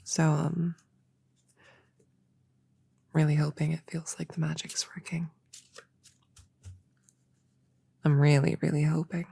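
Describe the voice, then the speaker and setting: A woman playing a young, earnest novice witch in a soft, partly whispered ASMR roleplay, chatting hesitantly and intimately as if to a friend. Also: deep voice, little pitch movement, a little slow, dry recording, heavily acted. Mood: anxious, hopeful. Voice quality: breathy, soft.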